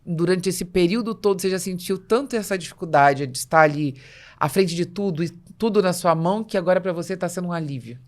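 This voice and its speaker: A Brazilian woman speaks as a podcast host in a somewhat low register. She is conversational and articulate, framing questions and explaining ideas in an affirming interviewer's manner. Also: fast talker, a little mellow. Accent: Brazilian Portuguese.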